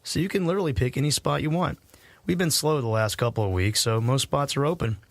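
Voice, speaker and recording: A man's voice: medium pitch, fast talker, dry recording, close to an everyday voice. An actor playing a friendly, generous older man who runs a campground in an audio drama. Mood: welcoming.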